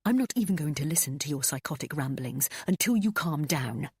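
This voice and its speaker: An impatient young husband snaps out short, cold orders, played by a woman reader. The voice is low and husky. It sits dry and close to the mic, like an audiobook read. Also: lively intonation, a little fast, darkish tone, no room sound, full character voice. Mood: curt. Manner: snapping, dismissive.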